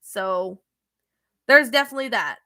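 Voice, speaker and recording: A young woman with a Canadian accent and a high voice, chatting on a live stream. Her tone is bright and clear. She answers viewers casually, pausing on ums and restarting her sentences. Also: animated. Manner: hesitant, many ums and restarts. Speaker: streamer reading chat.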